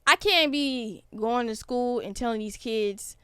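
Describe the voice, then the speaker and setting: A woman in her twenties hosting a podcast, chatting casually in an animated, slangy way. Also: fairly high pitch, unhurried, clear, resonant tone, no room sound, studio quality. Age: 20s. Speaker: podcast host.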